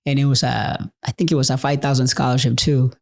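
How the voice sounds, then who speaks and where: A man's voice: medium pitch, flat delivery, brisk, vocal fry, a little mellow. A middle-aged man from El Salvador, a chiropractor guest talking casually with many fillers and frequent laughs. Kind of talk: casual conversation.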